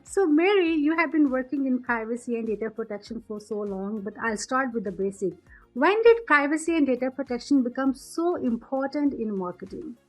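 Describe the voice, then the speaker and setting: A woman hosts an interview in a fairly high voice. She introduces the guest and puts questions to them, with faint music in the background. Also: expressive, normal speed, rough recording. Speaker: interview host.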